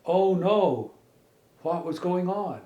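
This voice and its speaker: A middle-aged man telling a made-up children's story in a halting, folksy way, repeating words as he goes. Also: high-pitched, expressive, mellow, lo-fi sound. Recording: echoey room with faint background noise.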